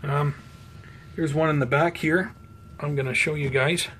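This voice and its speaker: A man giving an unhurried, conversational show-and-tell as a hobby vlogger, talking casually indoors. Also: on the high side, steady tone, a bit bright. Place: indoors at home by plant shelves. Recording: clear background noise, room ambience.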